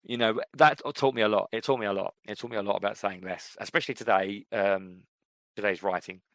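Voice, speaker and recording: Male podcast co-host, deep voice, dry close mic, thoughtful and meandering. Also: fairly even intonation, a little fast, a bit bright, dry recording. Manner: thoughtful, rambling with fillers and restarts. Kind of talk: casual chat about books and fiction.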